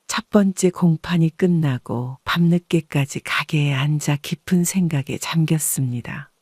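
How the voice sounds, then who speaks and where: A woman's voice: sits low, unhurried, a little mellow, slightly rough, dry recording. Composed woman narrator, very dry studio sound. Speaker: audiobook narrator. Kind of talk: scripted first-person story narration.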